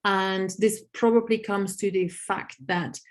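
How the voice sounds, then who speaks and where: A woman whose first language is Dutch, answering audience questions on a video call in a thoughtful, explanatory way with frequent pauses. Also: medium pitch, steady tone. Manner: thoughtful, many "um" pauses, lists examples. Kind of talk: webinar Q&A, explaining.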